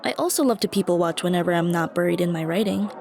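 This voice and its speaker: A woman's voice: on the low side, fast talker, close to an everyday voice. A woman playing a shy, dorky young stranger in an ASMR roleplay, talking to the listener in a hesitant, self-conscious, rambling way. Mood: friendly, timid. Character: shy, dorky young woman, a friendly coffee shop regular. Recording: busy cafe chatter behind the voice. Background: coffee shop crowd ambience.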